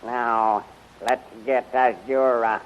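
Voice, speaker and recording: A man's voice: mid-range voice, steady tone, slow pace, close to an everyday voice. A man playing a commanding, resolute rebel general in 1930s radio drama style, on a muffled, noisy old recording.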